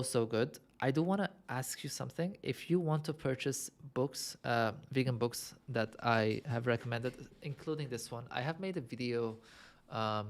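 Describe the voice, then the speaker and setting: A young man from Lebanon hosting a casual livestream, answering viewer questions in a chatty, self-amused way and thinking aloud with many fillers. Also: mid-range voice. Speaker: livestream host.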